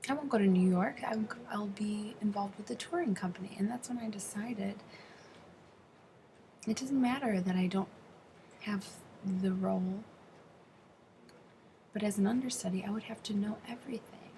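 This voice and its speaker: A woman's voice: mid-range voice, normal speed, long pauses, dark tone. Lady interpreter doing interview answers, stop and start phrasing, bit of noise behind her. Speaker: sign language interpreter voicing a deaf interviewee. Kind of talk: interpreted interview, spoken in first person.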